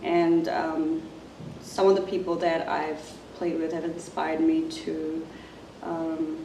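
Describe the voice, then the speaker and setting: A woman from Zimbabwe, introducing herself as a musician in a soft, halting way, with many fillers. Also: deep voice, level tone. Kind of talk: casual self-introduction to camera. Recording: roomy, distant mic, faint noise.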